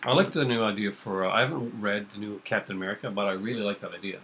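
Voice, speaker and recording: Relaxed rambling man in casual chat. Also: lowish voice, fast, slight background noise. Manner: relaxed, rambling, hesitant with fillers.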